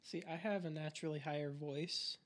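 A young man co-hosting a podcast, chatting casually in a quick, rambling way with giggles. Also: on the high side, steady tone. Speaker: podcast co-host. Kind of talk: casual chat.

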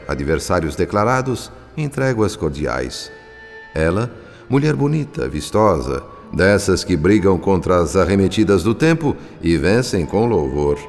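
An actor playing a solemn, devout narrator, telling the story theatrically in a radio drama. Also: male voice, low-pitched, a little mellow. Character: solemn, devout male spirit narrator, measured and moralizing. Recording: long cavernous reverb.

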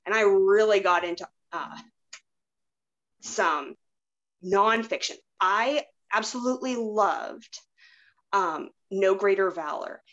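A woman's voice: mid-range voice, takes it slowly, pauses often, a bit bright, lo-fi sound. Woman chatting in a casual, rambling way. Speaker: podcast host. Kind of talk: casual chat.

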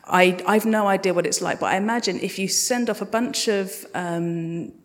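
A woman's voice: medium pitch, normal speed. A woman speaking conversationally as a guest sound designer on a live panel, answering audience questions. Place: live podcast festival stage in a hall. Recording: reverberant hall at a live event.

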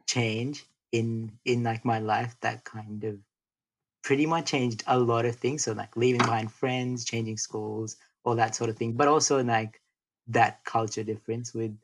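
A young man from North India, chatting casually as a podcast guest in a relaxed, rambling way full of fillers and restarts. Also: fairly low pitch, vocal fry, slightly rough. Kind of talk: casual interview chat.

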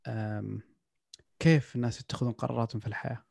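A man's voice: medium pitch, fairly even intonation, fast, vocal fry, a little mellow, breathy edge, no room sound, clean studio sound. A man hosting a casual podcast chat, reflective, slipping English phrases into his talk. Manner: reflective, mixes in English phrases, many restarts.

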